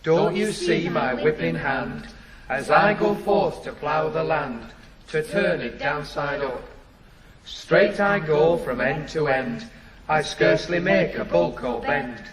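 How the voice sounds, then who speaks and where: An actor playing a rustic ploughman, declaiming folk-play verse in a measured, theatrical way. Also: fairly high pitch, lively intonation. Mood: proud, declamatory. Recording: echoey, loud crowd behind.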